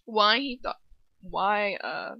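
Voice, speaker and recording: A woman's voice: mid-range voice, slow pace, vocal fry, no echo, lo-fi sound. A young adult woman reading fan fiction aloud casually, flustered and giggly, breaking off into sweary asides.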